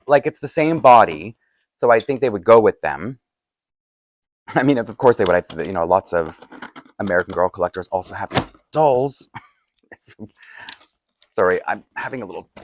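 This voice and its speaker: A man with a low, groggy voice talks casually as if showing items to viewers. He is chatty and wanders between thoughts, often cutting himself off with little asides. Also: a little hiss or hum. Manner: chatty, self-interrupting asides, chuckling. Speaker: doll collector and unboxing vlogger. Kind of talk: casual rambling unboxing chat.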